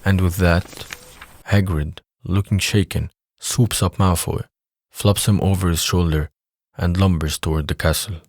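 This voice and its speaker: A man's voice: low-pitched, fairly even intonation, measured pace, a little mellow, no room sound, studio quality. A man reading scripted narration for an audio drama.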